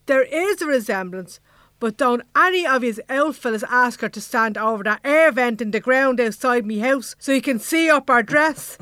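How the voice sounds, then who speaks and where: A woman's voice: on the high side, animated, normal speed, pushed and bright. A woman acting the bossy, no-nonsense leader of a secret band in an Irish comedy audio drama, colloquial, with a Dublin accent. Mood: bossy, jovial.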